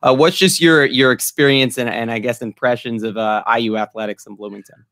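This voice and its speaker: A man's voice: mid-range voice, steady tone, fairly quick, a bit bright. A young American man in his early twenties hosting a sports interview, asking casual questions over a video call. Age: early 20s. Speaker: student sports show host, interviewer. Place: small room at home, indoors. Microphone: computer microphone on a video call.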